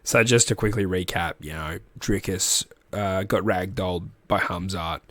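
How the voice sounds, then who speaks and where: A man's voice: sits low, measured pace, fairly bright tone, no echo. An Australian man with a cold, phlegmy and coughing now and then, hosting a rambling, casual rugby league recap. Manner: rambling and chatty, with restarts and asides. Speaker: rugby league podcast host.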